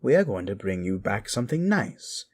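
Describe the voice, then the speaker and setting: A man's voice: on the low side, big pitch swings, moderate pace, a little mellow. A man voicing a doting, naive tourist mother in a scary story. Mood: cheerful, doting.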